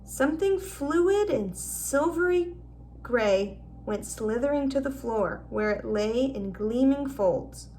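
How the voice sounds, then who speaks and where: A woman's voice: on the high side, animated, slow pace, mellow, recorded from a distance, a little hiss or hum. A woman narrating a children's novel aloud as a teacher would in a storybook read-aloud.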